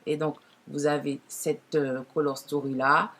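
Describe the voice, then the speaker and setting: A woman with a naturally deep voice, lowered further by a cold, chats casually to viewers as she presents makeup, often correcting herself. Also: level tone, a little slow, fairly bright tone. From Martinique. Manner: informal, frequent self-corrections. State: deeper, hoarse-sounding from a cold. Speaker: makeup tutorial host.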